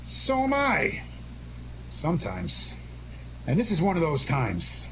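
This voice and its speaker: A cocky, wry detective on the run played by a man, with a lightish, higher male voice. He talks tough and breezy in old-time radio drama fashion, over clear background noise. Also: wildly swooping pitch, takes it slowly, bright, forceful tone, full character voice, poor audio. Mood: confident, tough. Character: cocky, wisecracking male amateur sleuth on the run.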